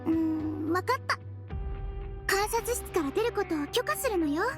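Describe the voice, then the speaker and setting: A tiny, sweet head nurse speaks in a very high, girlish voice. The manner is gentle and reassuring anime game acting, with music playing behind. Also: animated, moderate pace. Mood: calm, reassuring. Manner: gentle, reassuring, soft feminine phrasing.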